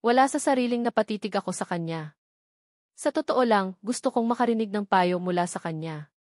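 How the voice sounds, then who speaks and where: A woman's voice: mid-range voice, expressive, fairly quick, a little mellow, dry recording, studio quality. A woman reading a romance novel aloud as a scripted first-person narration. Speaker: audiobook narrator.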